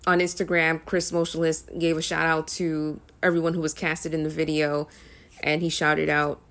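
A young woman talks casually to her viewers in a lowish voice. She rambles and pads her sentences with ums and uhs, in a relaxed, unscripted vlog style. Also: moderate pace. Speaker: music vlogger. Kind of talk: casual talk to camera.

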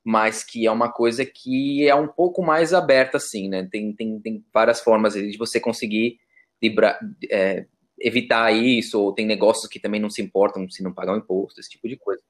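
A man's voice: lowish voice, steady tone, a little fast. A man with a Brazilian Portuguese accent hosting a casual podcast interview, informally rephrasing his questions and points as he goes.

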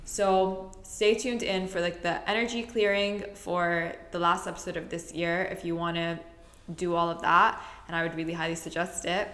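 Chatty woman podcaster, low voice, roomy sound. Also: fairly even intonation, normal speed, fairly bright tone. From Middle East. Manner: chatty, rambling, self-correcting. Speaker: podcast host. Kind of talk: casual solo podcast chat. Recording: roomy echo.

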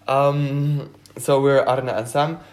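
A man from Belgium, not a native English speaker, co-hosting a casual podcast, hesitant with many ums and quick to laugh. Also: medium pitch, flat delivery. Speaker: podcast co-host. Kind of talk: casual chat.

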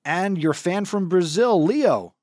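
A man's voice: high voice, lively intonation, slow pace, darkish tone, no room sound, studio quality. An adult man, the teacher co-hosting a school morning show, chatting casually with a wry, teasing streak and keeping things moving. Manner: easygoing, keeps the show moving. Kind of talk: casual studio chat.

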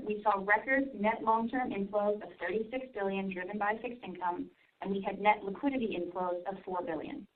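Woman exec on an earnings call, formal and measured, sounds far off on a phone line. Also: mid-range voice. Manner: formal, measured corporate delivery. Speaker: company finance executive presenting earnings. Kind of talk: earnings call presentation and answers. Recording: distant, band-limited conference call line.

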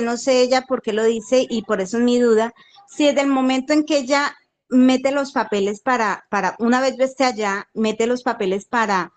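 A woman, asking for advice as a caller in spontaneous speech. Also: a little high. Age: 40s. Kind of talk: spontaneous question and answer.

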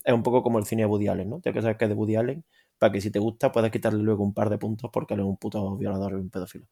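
A man's voice: fairly low pitch, little pitch movement, very fast, creaky, mellow. A man from Spain with a Spanish accent, co-hosting a film podcast with sarcastic, profane rants. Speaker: podcast co-host. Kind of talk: casual crude film-podcast banter.

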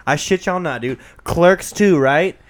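A man's voice: on the high side, a little slow, a crowd in the background. Profane storytelling man in his twenties. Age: 20s. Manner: profane storytelling, laughs often. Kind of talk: crude casual chat.

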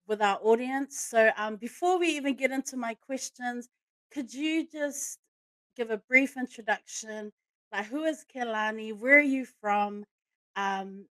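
Woman, podcast host, higher voice, dry close sound, enthusiastic, casual, sisterly. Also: fairly bright tone, dry recording, poor audio. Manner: enthusiastic, familiar sisterly address.

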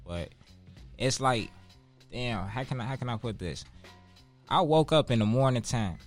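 A man's voice: mid-range voice, animated, unhurried, faint music behind. A man in his twenties with a Chicago accent, talking casually as an interview guest in street slang, with profanity and emphatic repetition. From Chicago, United States. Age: 20s.

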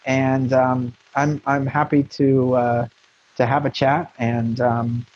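A man's voice: mid-range voice, level tone, slow pace, darkish tone, highs cut off. An American man, a marketing consultant, talking frankly and rambling in a casual interview with many restarts and fillers.

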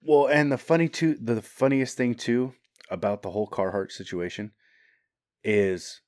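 A man in casual podcast chat, crude and quick to laugh, swearing often. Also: medium pitch, fairly even intonation, darkish tone, no room sound, studio quality.

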